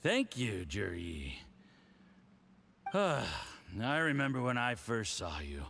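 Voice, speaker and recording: Smug diplomat with wildly swinging pitch. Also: male voice, huge pitch swings, gravelly, a bit bright, slight background noise, full character voice. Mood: tipsy, pleased. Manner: theatrical, patronizing, drawn-out delivery. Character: smug, condescending, tipsy Fatui diplomat, adult man. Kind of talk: video game voice acting.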